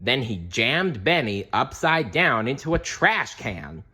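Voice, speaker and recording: A man's voice: medium pitch, very animated, takes it slowly, bright, forceful tone, husky. A man narrating a children's storybook aloud in a dramatic way, with little asides to young listeners. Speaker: storybook reader.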